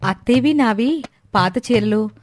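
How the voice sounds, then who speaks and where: A woman's voice: on the high side, animated, unhurried. A woman playing a dutiful, eager young village daughter-in-law in a cartoon moral story, polite and youthful. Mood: eager, obliging.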